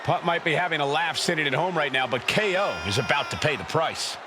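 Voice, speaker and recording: Male excitable wrestling play-by-play for a game, fairly high voice over very loud arena noise. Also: a little fast, natural voice. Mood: excited. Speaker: wrestling commentator. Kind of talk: play-by-play commentary in a video game.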